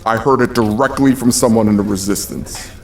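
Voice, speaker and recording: A man plays a big, gruff guardian in a fantasy audio drama. The voice is lowish and full of creak, with blunt, commanding delivery. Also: a little fast, close to an everyday voice. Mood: conspiratorial. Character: gruff, big, streetwise male protector of a younger man.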